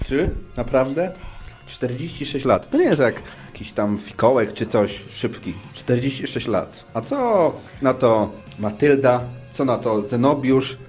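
A man's voice: medium pitch, lively intonation, a little slow, a little mellow, close to an everyday voice. A reluctant male lover in a comic audio play acted by a man, speaking in a medium register. He is exasperated and moralising, pressing his point again and again over faint music. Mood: exasperated, pleading. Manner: insistent, repetitive questioning. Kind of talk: amateur comic podcast radio drama.

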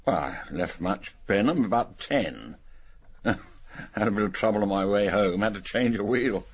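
An actor playing a blustering, dismissive older English country colonel in a radio drama. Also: male voice, on the low side, animated, unhurried, slightly rough, full character voice. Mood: indignant, defensive.

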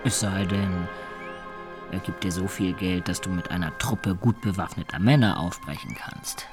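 A man's voice: sits low, moderate pace, over music, close to an everyday voice. An actor playing a wily adventurer who confides his past like a storyteller in a radio drama. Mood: coaxing.

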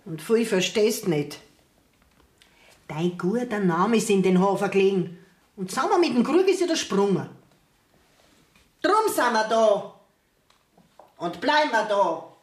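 A woman's voice: medium pitch, animated, reverberant, lightly acted. Woman acting an indignant, scolding Bavarian peasant mother. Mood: indignant, stubborn. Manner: scolding, emphatic, drawn-out. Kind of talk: 1970s dialect radio play acting.

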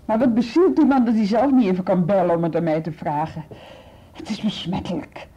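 An actress with a Netherlands Dutch accent playing a sharp, sardonic, questioning police inspector in a naturalistic radio play. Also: female voice, medium pitch, a little fast, breathy edge, natural voice. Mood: irritated, sarcastic. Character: sharp, sceptical female police inspector, irritated by office politics. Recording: muffled radio drama recording.

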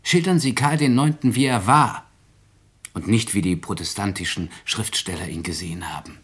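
An actor playing an earnest, idealistic poor young writer in a German radio play, articulate and declamatory, with a slightly husky voice. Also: male voice, fairly low pitch, moderate pace, fairly bright tone. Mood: earnest, instructing.

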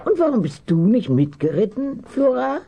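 A woman's voice: on the high side, big pitch swings, normal speed, creaky, dark tone, lo-fi sound, exaggerated voice. A woman playing a kindly, bustling housekeeper, motherly and fussing, in a children's radio play. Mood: homely, curious. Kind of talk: German children's radio play acting, 1970s.

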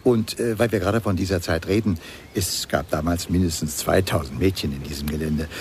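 An actor playing a nostalgic, garrulous old-timer of the jazz days in a radio drama, creaky, rambling and confiding. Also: male voice, low voice, fairly quick, darkish tone, slight background noise.

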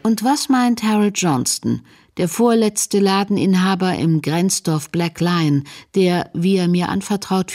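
A woman narrates a radio documentary from a script. Her voice sits a little lower than most women's, and she delivers prepared feature narration. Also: darkish tone.

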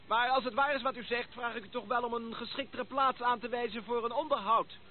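An actor playing a courteous, formal gentleman visitor with a slightly hesitant manner in a Dutch radio play. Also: extremely high pitch, steady tone, a little fast. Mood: flustered. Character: polite, slightly flustered gentleman visitor, lost on the way. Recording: tinny band-limited old radio play recording, noisy.

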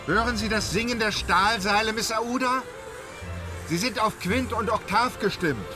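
A man playing a composed, courteous gentleman traveler with a formal, unflappable manner in an audio drama. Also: high voice, normal speed, over music, lightly acted. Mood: calm.

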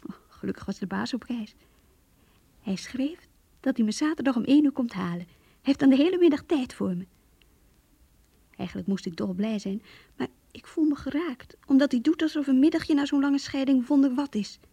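A woman's voice: high voice, lively intonation, normal speed, vocal fry, mellow, breathy edge, no echo, exaggerated voice. An actress playing an earnest, lovesick young woman who confides her diary in a Dutch radio play. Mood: hurt, sorrowful. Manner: earnest, intimate.

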